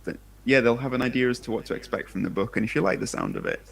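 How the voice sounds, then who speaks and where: A man's voice: medium pitch, wildly swooping pitch, rapid-fire, vocal fry, slightly rough. A man hosting a livestream over a video call, talking casually and loosely with lots of fillers and restarts. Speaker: author hosting a book launch livestream. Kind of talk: casual chat among friends.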